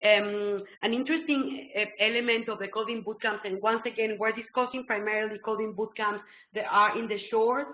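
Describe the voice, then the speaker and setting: A middle-aged woman with a Mexican Spanish accent presents a webinar from a small room, her Spanish roots clear in her English. She explains eagerly, with plenty of ums. The sound is thin and phone-like, with a roomy echo. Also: mid-range voice, brisk, slight background noise. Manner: excitable, explanatory, full of ums. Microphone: laptop webcam video call. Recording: tinny phone-like echoey webcam call.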